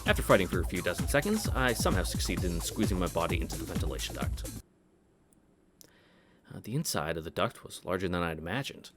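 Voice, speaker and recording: A man's voice: low voice, very animated, fast talker, soft background music. A man reading a visual novel aloud as a let's-play host, breaking off into casual asides.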